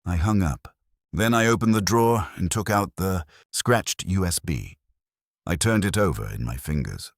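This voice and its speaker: A man reading a scripted dramatic first-person story as narrator, in a measured, solemn manner. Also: deep voice, lively intonation, no echo, clean studio sound.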